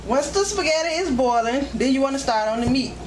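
Female home cook host, higher-pitched, practical how-to narration; roomy kitchen sound on a camcorder mic. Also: animated, fairly quick. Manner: plain step-by-step instructing. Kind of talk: hands-on cooking demonstration narration. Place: indoors, home kitchen at the stove. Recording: echoey kitchen, loud sizzle and stove noise.

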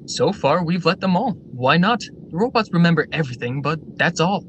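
A confident male factory director played by an actor with a fairly high voice. He declaims his ideals in polished radio-drama style. There is faint noise on the recording. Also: expressive, moderate pace, close to an everyday voice. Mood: assured, amused.

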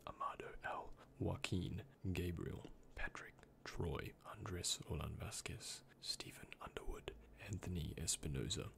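A young man speaking in a breathy, hushed ASMR whisper, gently and unhurriedly, close to the microphone as in a doctor roleplay. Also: low voice, unhurried, few pauses. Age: young adult. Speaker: ASMR creator playing a doctor. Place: indoors, close before a dark backdrop.

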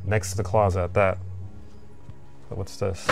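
Man, low gravelly voice, laid-back rambling explorer talk, music underneath. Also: little pitch movement, moderate pace. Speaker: urban explorer host. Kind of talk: casual exploring commentary. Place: abandoned factory building, indoors.

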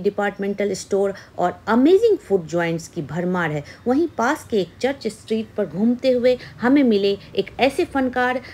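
Lively woman narrating, husky voice. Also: mid-range voice. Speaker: video narrator and interviewer. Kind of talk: scripted narration.

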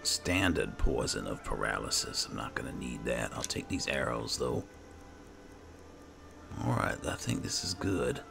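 A man giving roleplay game commentary and reading item text aloud, muttering to himself in an unhurried way. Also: very deep voice, very animated, gravelly. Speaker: gaming video host. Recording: game music behind voice. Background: video game music.

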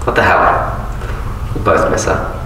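A man's voice: takes it slowly, bright, forceful tone, slight background noise. An actor playing a snide young man needling a friend. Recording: echoey hall, distant.

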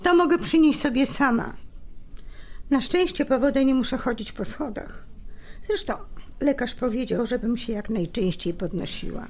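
A woman's voice: fairly high pitch, moderate pace, darkish tone, lightly acted. A woman playing a grumpy convalescing wife with dry wit in a naturalistic radio drama, sarcastic and bickering. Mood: irritable.

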